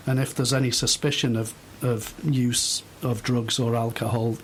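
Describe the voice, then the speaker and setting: A middle-aged man giving thoughtful, halting answers as a guest in an unscripted radio interview. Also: medium pitch, a little hiss or hum, rough recording. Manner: hesitant, thoughtful, halting phrases. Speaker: interview guest, volunteer host.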